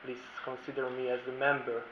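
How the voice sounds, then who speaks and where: A man's voice: mid-range voice, monotone, brisk. A man from Slovakia whose first language is Hungarian, a lawyer giving a formal prepared presentation at a roundtable and reading from his notes. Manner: formal, reads from notes, careful non-native phrasing. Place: conference room. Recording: echoey room, dull sound, faint hiss.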